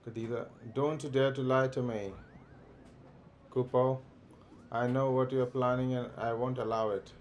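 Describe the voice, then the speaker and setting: A man's voice: medium pitch, little pitch movement, unhurried, lo-fi sound, a little hiss or hum, close to an everyday voice. A man voicing a stern little moogle creature as he reads the game's dialogue aloud in his own voice. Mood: accusing.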